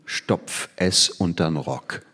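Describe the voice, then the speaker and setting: An actor playing an old, half-blind captive scientist in a stage drama, speaking in deliberate, ironic, measured phrases. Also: male voice, deep voice, pushed and bright, breathy edge, full character voice. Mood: dry, resigned.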